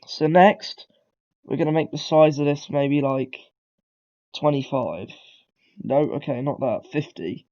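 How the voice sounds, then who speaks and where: A man's voice: fairly high pitch, unhurried, pauses often, a little mellow, dull audio. A man, talking casually through a beginner coding tutorial, rambling and correcting himself as he goes. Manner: rambling, self-correcting, lots of ums and throat clearing. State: has a cold. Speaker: tutorial maker.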